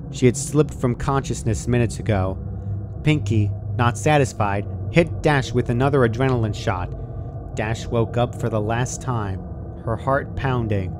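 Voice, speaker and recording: A man's voice: medium pitch, noisy background. A man reading scripted horror fan fiction aloud as the narrator.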